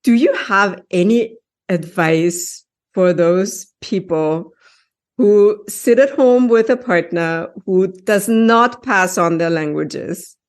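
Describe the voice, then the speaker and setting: A woman hosting a podcast on language, chatting casually and asking long, lively questions. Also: mid-range voice, slow pace. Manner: lively, laughs often, asks long questions. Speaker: podcast host, linguist. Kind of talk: casual interview.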